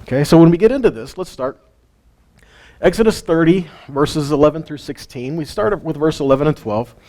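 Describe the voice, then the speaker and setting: A man's voice: on the high side, fairly quick, mellow. A man teaching a weekly Bible lesson in a conversational way, asking his listeners questions and chuckling between points. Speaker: teacher of a weekly Torah study. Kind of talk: informal Bible teaching to a live group.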